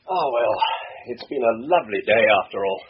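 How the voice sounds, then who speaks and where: An actor playing a rational, dismissive middle-aged English husband in a brisk, emphatic old-time British radio drama style. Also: male voice, medium pitch, lively intonation, lightly acted. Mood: cosy, contented. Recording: muffled, hissy old radio recording.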